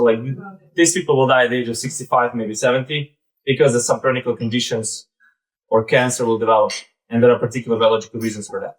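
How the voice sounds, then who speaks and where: A man in his thirties with a Belarusian accent gives an informal live presentation in a halting, conversational way, often saying "like" and "basically". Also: medium pitch, poor audio. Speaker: presenter, startup founder.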